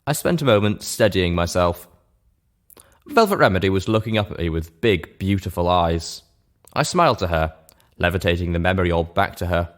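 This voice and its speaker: Deep-voiced man reading a story. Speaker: audiobook-style reader. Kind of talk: first-person story narration.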